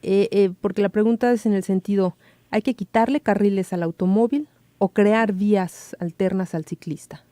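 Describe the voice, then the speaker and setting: Woman radio host, mellow tone, dry close studio sound, conversational questioning. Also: mid-range voice, steady tone, moderate pace, dry recording. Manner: conversational, questioning, thinks aloud. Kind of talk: radio interview.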